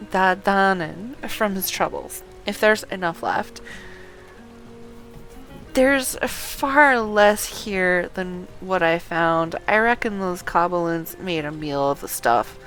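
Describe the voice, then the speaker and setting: A woman reading aloud the dialogue of a friendly, chatty quest giver in a fantasy game, deliberately paced. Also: lowish voice, a bit bright, soft background music. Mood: grumbling.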